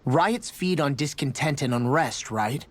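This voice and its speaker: An actor playing a thoughtful companion, husky and deliberate as he explains. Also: medium pitch, expressive.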